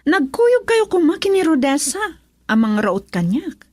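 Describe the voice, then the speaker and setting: An actress with a Filipino accent playing a scheming, mocking mistress in a radio drama. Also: female voice, high-pitched, very animated, a little mellow, no echo, clean studio sound. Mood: mocking, defiant. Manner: taunting, drawn-out, swinging delivery.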